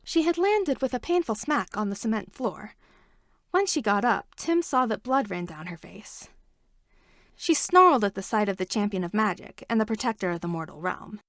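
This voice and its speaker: A woman reading a fan fiction chapter aloud with expressive storytelling and shifting emphasis. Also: medium pitch, moderate pace, no echo, studio quality. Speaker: podfic reader.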